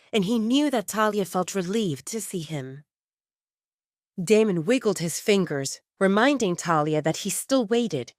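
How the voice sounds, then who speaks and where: A woman's voice: medium pitch, dry recording, studio quality. A woman reading scripted romance fiction as the narrator of an audio drama.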